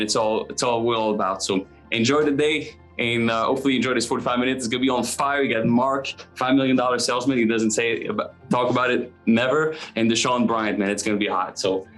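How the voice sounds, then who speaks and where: A man's voice: mid-range voice, fairly bright tone. Upbeat man hosting a video meeting. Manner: upbeat, hurried, lots of filler words. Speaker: meeting host. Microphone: video call.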